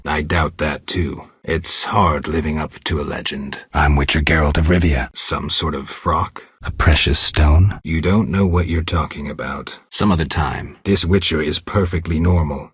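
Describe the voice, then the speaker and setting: A gruff, stoic monster hunter for a video game. The voice sits extremely deep and is heavily rasped and husky. Lines come out dry, blunt and deadpan, in a close, dry recording. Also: male voice, dry recording, full character voice. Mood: muted, dry. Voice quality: low, gravelly, husky. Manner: deadpan, terse, restrained. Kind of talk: video game dialogue acting.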